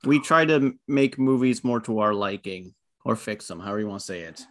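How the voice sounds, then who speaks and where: A man's voice: on the low side, fairly quick, fairly bright tone. A young man co-hosting a casual podcast, joking and teasing the others. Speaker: podcast co-host. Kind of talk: casual chat.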